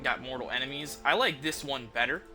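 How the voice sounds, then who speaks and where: A man hosts a livestream in a medium-pitched voice. He talks off the cuff to his viewers, rambling informally as he explains things. Music plays clearly behind him. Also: a little fast, sharp tone. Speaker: livestream host, gamer. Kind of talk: casual unscripted chat to stream viewers.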